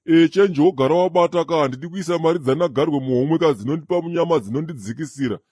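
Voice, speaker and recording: A man acts out a swaggering Zimbabwean boss in a comedy cartoon. His voice is slightly high, quick and close-miked, full of slang and tagged-on questions. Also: dark tone, husky, no echo. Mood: showing off. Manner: slangy, tags questions onto orders. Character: swaggering male boss customer ordering food.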